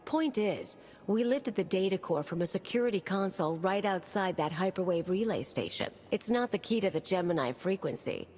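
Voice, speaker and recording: An actress playing a brash, defiant mercenary agent in a video game, speaking bluntly and scornfully. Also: female voice, medium pitch, lively intonation, moderate pace, few pauses, darkish tone, close to an everyday voice. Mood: dismissive. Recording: reverberant game hall.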